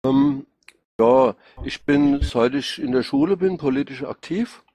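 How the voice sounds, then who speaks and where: A middle-aged man with a Rhine-Hessian accent, part Hessian and part Palatine, speaking informally and haltingly as a political candidate answering questions. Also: mid-range voice, dark tone, no echo. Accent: Rhine-Hessian, mixing Hessian and Palatine. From Rhine-Hesse, Germany. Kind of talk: informal spontaneous self-presentation. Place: online voice chat.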